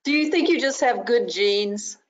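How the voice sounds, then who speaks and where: A woman co-hosting from a dim living room over a webcam, explaining things in a measured way from a medical angle. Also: a little high, a crowd in the background. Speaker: co-host with medical background. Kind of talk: video-call interview. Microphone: video call webcam. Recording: dull lo-fi webcam audio.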